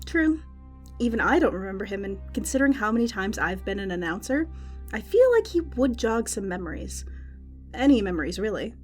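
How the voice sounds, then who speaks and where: A woman playing a chatty, excitable and bloodthirsty fantasy arena announcer in an audio drama. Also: medium pitch, a little fast, lightly acted. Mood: puzzled. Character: gleeful, bloodthirsty female fantasy arena announcer, easily worried. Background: music.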